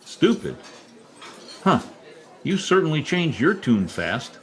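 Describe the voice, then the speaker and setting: A man's voice: a little high, big pitch swings, slow. A man acting a wry, scheming newspaper reporter in an old-time radio comedy, with a theatrical delivery. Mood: bantering, indignant.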